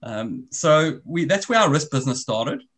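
A man's voice: a little high, big pitch swings, moderate pace, fairly bright tone. A man in his forties with a South African accent, speaking as an interview guest over a remote call and explaining things in long runs with frequent restarts. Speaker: guest, risk business founder. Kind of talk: conversational interview.

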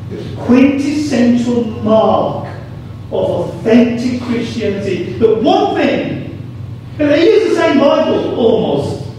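A man preaches in a high-pitched voice with a Birmingham accent. He drives points home with repeated phrases and questions thrown out to the congregation. The sound is heavily echoing and picked up from a distance. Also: big pitch swings, slow pace, dark tone. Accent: Birmingham English. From Birmingham, England. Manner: emphatic, repeats phrases, asks rhetorical questions. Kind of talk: sermon to a congregation. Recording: very echoey room, distant mic, clear noise.

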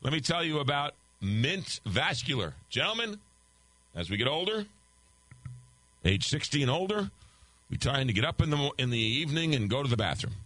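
A man's voice: mid-range voice, very animated, moderate pace, bright, forceful tone, no echo, low-quality recording. An older man hosting a call-in talk radio show, loosely reading listener texts and ad copy while losing his voice. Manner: loose, conversational, reads listener texts aloud. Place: radio studio. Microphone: studio microphone.

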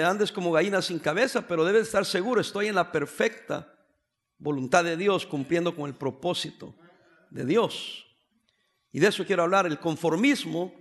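A man from El Salvador with a notably high voice, preaching a sermon to his congregation. He talks in a conversational way, dropping in humorous asides as he goes. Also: a bit bright. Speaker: pastor.

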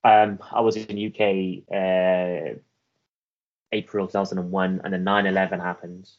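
Man, Welsh accent, very low pitch; earnest interview guest, halting delivery, muffled lo-fi audio. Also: level tone. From Afghanistan. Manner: earnest, halting, with many restarts and fillers. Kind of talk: reflective personal storytelling.

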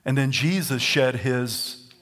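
A man with a Southern US accent preaching a sermon as pastor, lively and at times rising to near-shouts and singing. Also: medium pitch, takes it slowly.

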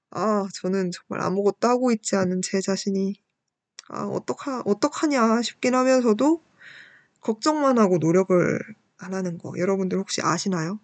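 A woman's voice: mid-range voice, no echo. A woman in her twenties hosting a show, talking reflectively and politely in a candid, self-deprecating way as she shares personal thoughts. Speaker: radio-style show host and university student. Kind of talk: personal reflective talk, reading listener messages.

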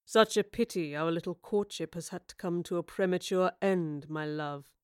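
A woman voicing a smug male villain in an audiobook, coldly menacing and slow, with mock-tender sincerity. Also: on the low side, fairly bright tone, clear, resonant tone, dry recording, clean studio sound. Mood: cold mock affection. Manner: slow, mockingly sincere, unhurried.

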